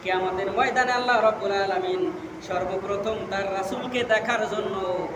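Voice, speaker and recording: A male Islamic preacher with a Bangladeshi Bengali accent speaks in an extremely high, piercing register. His delivery is declamatory and emotional, with phrases repeated for emphasis. The sound carries long reverb over some background noise. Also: steady tone, normal speed. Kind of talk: impassioned religious sermon with storytelling.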